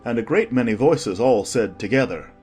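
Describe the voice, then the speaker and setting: Male narrator, medium pitch, animated intonation, expressive storybook reading, soft music behind. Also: moderate pace.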